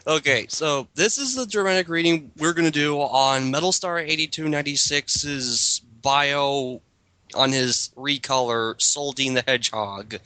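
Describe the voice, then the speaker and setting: A man's voice: on the high side, measured pace, pushed and bright, dry recording. A man hosting a group dramatic reading, talking casually as he introduces things and comments with friends.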